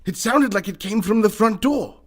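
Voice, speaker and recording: A pompous, wealthy eccentric gentleman played by a man, pitched fairly high. He speaks formally and theatrically, like the lord of the house in a comic radio mystery. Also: expressive, moderate pace, background noise. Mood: alarmed. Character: pompous wealthy eccentric businessman and hunter, male. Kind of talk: comedic old-time radio mystery acting.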